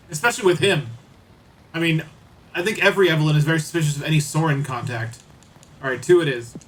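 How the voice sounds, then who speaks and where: A man's voice: a little high, moderate pace, pauses often, a bit bright, far from the mic, a little hiss or hum, poor audio. A man streaming a game, chatting casually with viewers and reading bits aloud.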